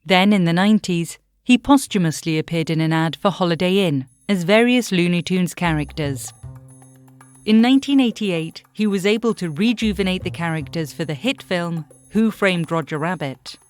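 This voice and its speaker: Low-pitched woman narrating over music. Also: moderate pace. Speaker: narrator and host. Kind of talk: scripted documentary narration.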